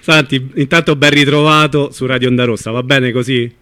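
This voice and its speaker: Man, bright forceful voice, medium pitch, casual radio interviewer. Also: steady tone, a little fast, smooth. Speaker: radio host interviewing a caller.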